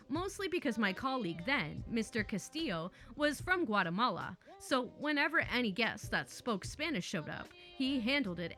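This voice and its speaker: A woman's voice: medium pitch, bright, forceful tone. A woman reading online stories aloud with playful, chatty asides between them. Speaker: story narrator.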